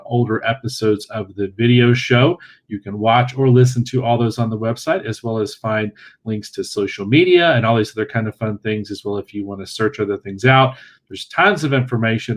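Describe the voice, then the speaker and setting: Courteous male livestream host, mid-range voice. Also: medium pitch. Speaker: podcast host. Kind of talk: casual livestream hosting and sign-off.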